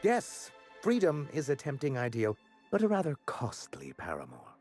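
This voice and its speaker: A man's voice: medium pitch, wildly swooping pitch, normal speed, a little mellow, faint music behind. An actor playing a flamboyant, vain male corporate executive who talks in a theatrical, florid way full of innuendo. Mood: grandiloquent.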